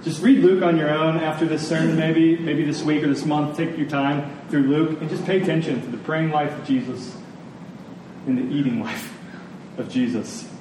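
Echoey, higher-voiced man preaching earnestly. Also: steady tone, darkish tone. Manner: earnest, emphatic, repeats key phrases with deliberate pauses. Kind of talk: sermon to a congregation. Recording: very echoey room, distant mic, faint noise.

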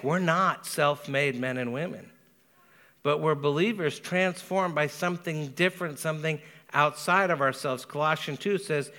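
A man preaching a sermon as pastor, speaking earnestly with a Spanish accent and slowing down to stress key words. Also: on the high side, bright, forceful tone. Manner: earnest, measured preaching with emphatic slow stresses.

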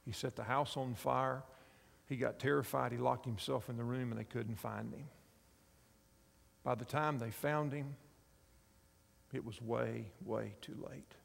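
A pastor in his fifties preaches with a gravelly, creaky voice, recounting a story earnestly and checking that his listeners follow. The sound rings out with the long reverb of a big hall. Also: mid-range voice, steady tone, unhurried, long pauses. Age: 50s. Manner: earnest storytelling, asks the congregation along. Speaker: pastor and chaplain. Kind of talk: sermon.

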